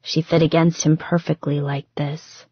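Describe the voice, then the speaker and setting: A woman's voice: low voice, level tone, normal speed, breathy edge, dry recording, lo-fi sound. A woman narrating romance fiction as a scripted audiobook.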